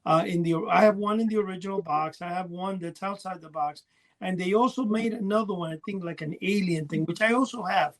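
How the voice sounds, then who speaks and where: A man's voice: sits high, a little fast. A man talking as a podcast co-host, reminiscing casually in a chatty, rambling way full of small details. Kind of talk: casual rambling reminiscence about old toys.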